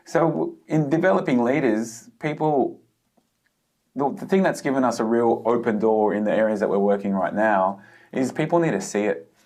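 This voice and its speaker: A man's voice: mid-range voice, moderate pace, darkish tone. A man from Australia with an Australian accent, answering in a conversational interview and explaining through personal examples. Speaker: podcast guest, youth leader and speaker.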